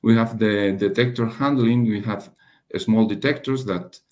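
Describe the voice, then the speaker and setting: Slow, halting low-voiced male presenter. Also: fairly even intonation, a little mellow. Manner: halting, hesitant, frequent long hesitations and rephrasing. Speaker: webinar presenter, radiation dosimetry researcher. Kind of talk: technical slide presentation.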